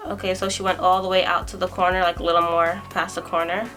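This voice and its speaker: A woman's voice: lowish voice, level tone, fast, loud music behind the voice, low-quality recording. A young woman talking through a makeup tutorial at home, chatty and self-deprecating, thinking aloud as she works on camera. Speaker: beauty vlogger.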